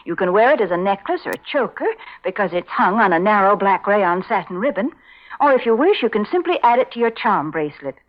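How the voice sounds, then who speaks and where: A woman announcer delivering sponsor patter in the style of 1940s radio advertising. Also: mid-range voice. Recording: slightly muffled 1940s radio broadcast.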